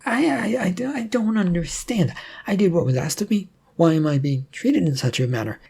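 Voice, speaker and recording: A man voicing a timid, panicking male accountant in a story, stammering and pleading in a squeaky way. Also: animated, moderate pace, vocal fry, a little mellow, breathy edge, full character voice. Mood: frightened, confused.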